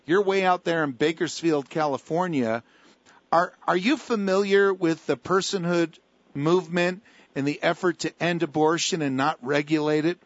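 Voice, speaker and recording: A man's voice: fairly high pitch, no room sound, muffled recording. A man hosting a talk radio show, talking with callers and guests in an emphatic, preacher-like way with a lot of repetition.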